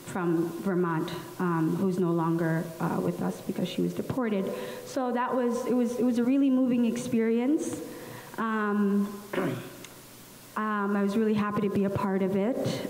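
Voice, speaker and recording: A young woman from Somalia, speaking on a panel into a handheld microphone, telling her story slowly with many pauses and fillers. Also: medium pitch. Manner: slow, many ums, soft laughs. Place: large room at a public panel event. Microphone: handheld mic. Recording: echoey hall with faint hiss.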